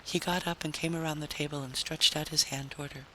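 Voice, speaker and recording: A man's voice: fairly high pitch, fairly even intonation, fast talker, bright, forceful tone. A man narrating a story in a dramatic, scripted vintage radio style. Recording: noisy vintage radio recording.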